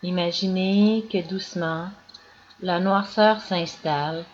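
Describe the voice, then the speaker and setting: Very slow-paced woman guiding meditation, muffled recording. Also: on the low side. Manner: slow, calm, measured instructions with long pauses. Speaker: yoga nidra guide. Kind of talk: guided meditation, scripted narration. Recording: dull lo-fi recording with clear background noise.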